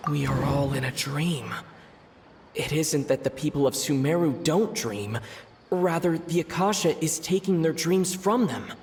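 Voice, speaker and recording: A man playing an earnest young adventurer in a video game, speaking in a deliberate, reflective way as he pieces things together. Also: a little high, darkish tone. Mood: pensive, certain. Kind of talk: video game cutscene monologue. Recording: long reverb, clear background noise.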